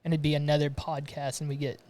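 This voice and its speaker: A man's voice: fairly high pitch, level tone, quick pace, mellow. Podcast guy from romania, rambly, keeps restarting, laughs a lot, just chatting in his garage. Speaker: podcast host. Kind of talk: casual chat. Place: home garage studio.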